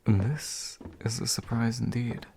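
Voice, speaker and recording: A man playing a courteous, gentle demon in a soft ASMR roleplay, politely formal and a little hesitant. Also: medium pitch, level tone, takes it slowly, gravelly, a little hiss or hum. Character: courteous, gentle male demon offering comfort to his summoner. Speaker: ASMR voice actor.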